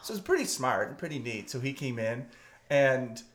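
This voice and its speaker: A man of about forty in casual comic banter, telling anecdotes in an animated way and acting out the dialogue. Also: medium pitch, normal speed, a little hiss or hum. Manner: animated, acts out quoted dialogue. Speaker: comedy podcast host.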